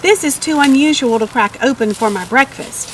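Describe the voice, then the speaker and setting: A woman's voice: high voice, moderate pace, noisy background, close to an everyday voice. A woman voicing an enthusiastic, bossy storybook farm woman who speaks in an emphatic, exclaiming way. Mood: delighted. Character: eager, bossy, enthusiastic woman hen farmer.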